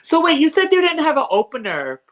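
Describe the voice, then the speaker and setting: A young woman hosting a casual chat, reacting with quick, animated comments and questions. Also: sits high. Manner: animated, quick reactions, says "one hundred percent" and "that is wild".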